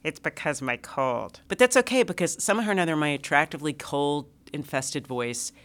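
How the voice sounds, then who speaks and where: A woman's voice: low-pitched, lively intonation, a little fast. A woman hosting a podcast, nasal from a cold, bubbly and gushing with superlatives. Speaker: podcast host. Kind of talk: casual podcast chat.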